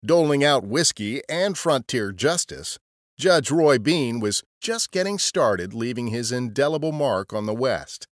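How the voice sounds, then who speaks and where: A man's voice: medium pitch, animated, a little slow, no room sound, studio quality. A man narrating a scripted history story as a podcast storyteller.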